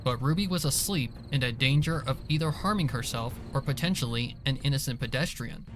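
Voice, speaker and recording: A man's voice: mid-range voice, fairly quick. A man reading scripted dramatic narration for a fan fiction story. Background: soft music behind the voice.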